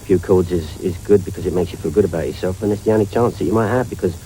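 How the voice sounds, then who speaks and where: A man's voice: low voice, racing, warm tone, a little hiss or hum, poor audio. A man from north London, a film director, answering interview questions in a reflective, rambling way.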